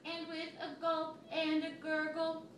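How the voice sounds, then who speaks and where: A woman's voice: very high voice, completely flat delivery, a little slow. A woman teacher reading a picture book aloud to a child, telling it expressively and stopping to ask questions. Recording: echoey room, recorded from far off, noisy.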